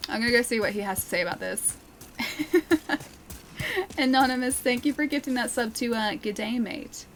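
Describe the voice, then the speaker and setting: A young woman from Australia chats and giggles through a live game stream, talking to her viewers as she plays. Her voice sits a little high. A faint hiss runs behind her. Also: lively intonation, moderate pace. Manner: chatty and giggly, talks to chat. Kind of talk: casual live-stream commentary.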